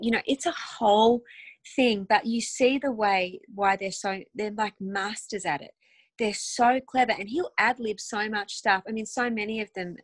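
An Australian woman in her thirties talks casually over a phone video call, rambling with ums and quick restarts. Her voice sits at a middle pitch, and the sound is dry and close with no room echo. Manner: chatty, animated, lots of ums and restarts. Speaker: guest actress. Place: home room, indoors.

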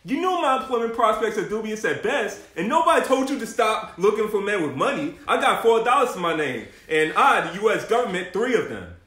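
Man playing a cocky broke slacker, bright echoey voice. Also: high-pitched, big pitch swings, brisk, hardly any pauses. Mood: defensive. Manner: slangy, boastful, self-justifying. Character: broke, cocky slacker boyfriend with dubious schemes. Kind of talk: amateur comedy table read. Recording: echoey room, faint noise.

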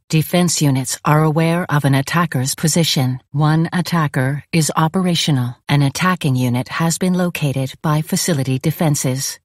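Performer as synthetic AI announcer, video game, impassive, clipped, formal, robotic, medium pitch, very dry sound. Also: no echo, lightly acted. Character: impassive synthetic AI announcer of a futuristic combat facility. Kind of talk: video game announcer, terse status alerts.

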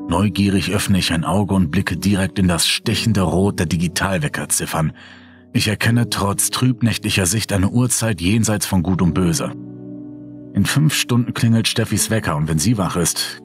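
Casting: low-voiced male actor as weary, haunted first-person horror narrator, dramatic delivery, music underneath. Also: natural voice. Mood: weary, brooding. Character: sleepless, brooding man haunted by a mysterious hole.

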